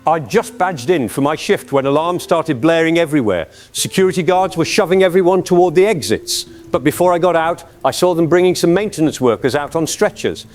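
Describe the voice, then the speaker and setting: A man's voice: on the high side, lively intonation, darkish tone, soft background music, lightly acted. A man playing a frightened lab technician in a radio drama, giving an agitated eyewitness account. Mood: shaken, alarmed. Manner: agitated, halting disbelief.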